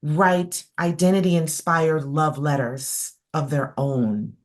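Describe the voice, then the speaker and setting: A woman hosting an interview, speaking in a measured, thoughtful way with frequent fillers over a video call. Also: sits low, fairly even intonation. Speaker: host.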